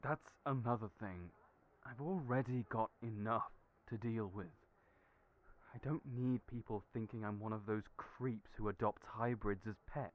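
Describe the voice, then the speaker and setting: A man's voice: on the low side, a little slow, close to an everyday voice. A man playing Julian, a kind but anxious young man who doubts himself, talking hesitantly. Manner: hesitant, worried, self-deprecating. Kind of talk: audio roleplay acting. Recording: tinny phone-like audio.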